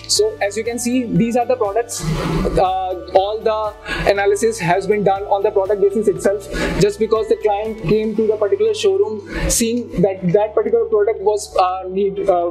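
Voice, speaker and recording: A man's voice: high-pitched, low-quality recording. Hesitant young man presenting charts. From India. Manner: hesitant, frequent fillers and restarts. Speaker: student presenter. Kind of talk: presenting a data analysis project. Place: classroom with projector screen. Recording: background music under voice.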